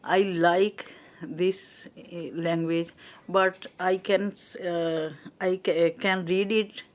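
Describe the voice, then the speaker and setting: An older woman from Pakistan, a native Urdu speaker, a guest speaking halting English with a Pakistani accent and many restarts. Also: on the low side, little pitch movement.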